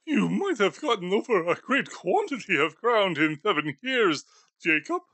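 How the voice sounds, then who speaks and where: A man voicing a frightened, pleading old miser in a high, wavering, whimpering voice for an audiobook. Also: sing-song, moderate pace, bright, forceful tone, no room sound, exaggerated voice. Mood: anxious. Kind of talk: audiobook character voice.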